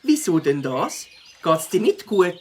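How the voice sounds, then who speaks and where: A woman's voice: lowish voice, big pitch swings, a little slow, darkish tone, slight background noise. A woman voicing a young, outspoken, skeptical boyish beaver biker in a children's audio drama. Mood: curious.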